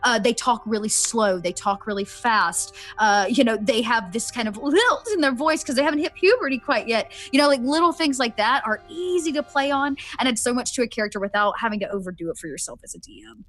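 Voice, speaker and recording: A woman's voice: a little high, expressive, brisk, few pauses, fairly bright tone, faint music behind. A woman player chatting casually and sharing roleplay advice, filling her talk with "like" and "you know". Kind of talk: casual chat giving tips.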